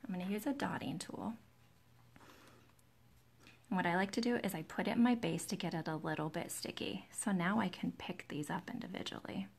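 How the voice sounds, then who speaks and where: A woman hosting a live nail tutorial in a chatty, relaxed way, with a soft, breathy, soothing voice that often drops near a whisper, close to the microphone. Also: fairly low pitch, a little fast. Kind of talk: chatty live-stream demonstration, greeting viewers. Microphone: close to the camera's microphone.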